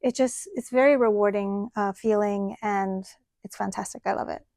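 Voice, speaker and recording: A woman's voice: mid-range voice, fairly even intonation, moderate pace, darkish tone, slight background noise. A woman hosting a podcast in casual conversation, affirming her guest with frequent backchannels. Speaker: podcast host, college teacher. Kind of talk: casual interview chat.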